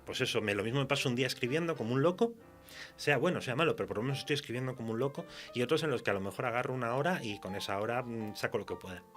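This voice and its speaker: A man with a Castilian Spanish accent chatting casually and colloquially, often halting to search for words. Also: mid-range voice, a bit bright, soft background music. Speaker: horror fiction writer. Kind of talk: casual conversation.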